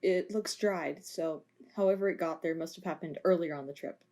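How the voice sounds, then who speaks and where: A woman playing a calm, deadpan female officer in an amateur audio drama. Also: on the low side, normal speed, lightly acted. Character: laid-back female officer, deadpan tea lover.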